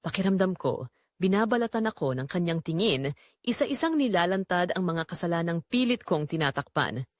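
A woman's voice: lowish voice, expressive, normal speed, dry recording, studio quality. A woman storyteller reading a scripted first-person romance drama in a dramatic narrating style.

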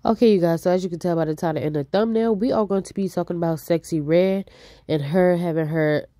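A woman hosting a casual celebrity gossip channel, talking fast with a stuffy nose and a bit out of breath. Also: lowish voice, mellow, no room sound, clean studio sound. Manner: chatty, fast, reads out posts. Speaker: YouTube host.

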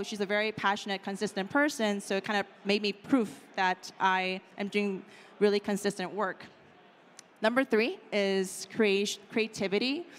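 A woman in her thirties giving a casual conference talk on stage into a handheld mic, chatty and full of "like" and "right?". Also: mid-range voice. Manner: chatty, lots of "like" and "right?" fillers. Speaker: conference speaker. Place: conference stage in a large hall. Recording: hall reverb with faint room noise.

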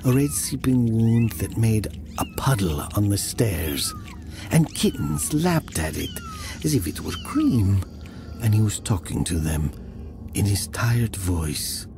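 A man playing a haunted, fearful storyteller, narrating an audio drama in a halting, hushed, intense way. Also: fairly low pitch, wildly swooping pitch, background noise, heavily acted. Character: haunted, fearful male storyteller recalling an eerie descent.

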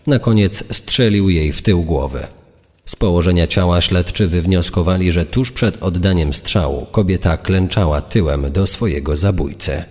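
A man's voice: sits low, moderate pace, a little mellow. A man reading scripted true-crime narration. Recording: long reverb, music bed behind.

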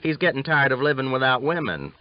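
Ornery older cowboy, played by a male narrator, teasing and folksy, lifted in pitch, lo-fi audiobook sound. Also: big pitch swings, brisk, close to an everyday voice. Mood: wry teasing. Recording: dull lo-fi audiobook recording.

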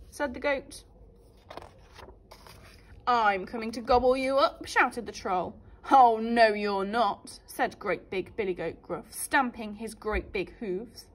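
Animated woman reading a children's story. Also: fairly high pitch. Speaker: primary school teacher. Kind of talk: children's story read aloud.

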